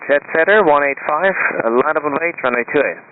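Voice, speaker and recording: A man working as an airport tower controller, reading out clearances in clipped radio phraseology. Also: fairly high pitch. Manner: rapid, routine clearances read off in set phrases. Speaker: tower air traffic controller. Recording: tinny radio transmission with faint hiss.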